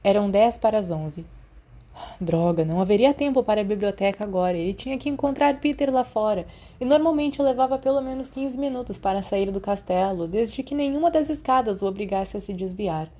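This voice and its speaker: A woman with a Brazilian accent reading a story aloud in an expressive audiobook style. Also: medium pitch, a little fast. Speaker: podfic narrator. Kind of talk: expressive audiobook narration of fan fiction.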